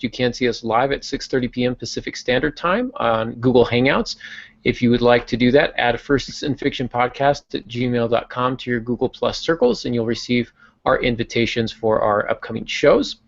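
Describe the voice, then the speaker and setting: A man with a gravelly, creaky voice hosts a podcast and runs through the week's announcements in relaxed conversation, often pausing on "uh". The sound is dry and a little dull, like a lo-fi video-call recording. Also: mid-range voice, no room sound. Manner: conversational, many filler uhs. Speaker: podcast host.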